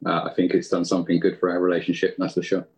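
A man chats as a guest in an interview, explaining his work informally. His voice sits low and has a rough, husky grain. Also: completely flat delivery, very fast, darkish tone. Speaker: guest, audio drama writer and editor. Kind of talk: casual interview talk.

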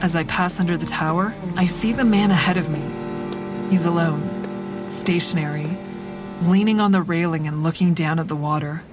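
A woman playing a somber, introspective bridge patroller, narrating an audio drama in a measured way. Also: lowish voice, lightly acted. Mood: somber, reflective. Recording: music under the voice. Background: music.

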